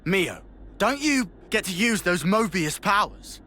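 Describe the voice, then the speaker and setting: A brash young British fighter from a video game. His voice is high and bright, swinging wildly in pitch as he blurts out slangy, blunt exclamations. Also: big pitch swings, unhurried, heavily acted. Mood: eager.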